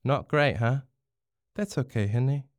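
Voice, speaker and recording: Storybook father, voiced by a narrator man: New Zealand accent, caring, soft, reassuring, smooth. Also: medium pitch, slow pace, no room sound, studio quality, natural voice. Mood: gentle, concerned. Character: gentle, caring father of a young girl.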